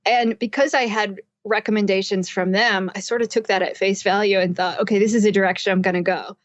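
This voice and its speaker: A woman's voice: mid-range voice, fairly even intonation, brisk, no room sound. Woman guest on dry, dull call audio. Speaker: interview guest, psychotherapist and author. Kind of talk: conversational storytelling in an interview. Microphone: internet voice call.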